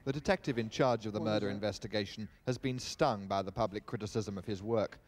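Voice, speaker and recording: A man's voice: on the low side, brisk, a little mellow. A man reading a scripted television news report as a reporter. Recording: archive TV report with street hubbub.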